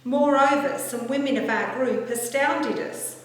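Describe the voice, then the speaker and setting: A woman's fairly high voice rings through a very echoey hall with a long reverb tail. She reads scripture as a church minister would, solemn and formal. Her delivery is measured yet expressive, like telling a story to be heard. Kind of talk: solemn Gospel reading aloud.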